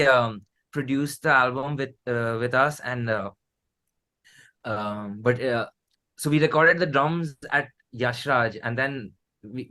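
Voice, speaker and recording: A man with an Indian accent talks in a loose, conversational way as an interview guest. His delivery rambles, doubling back on phrases and repeating himself as he explains. The pitch sits in an ordinary middle range for a man. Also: pushed and bright. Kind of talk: casual conversational anecdotes.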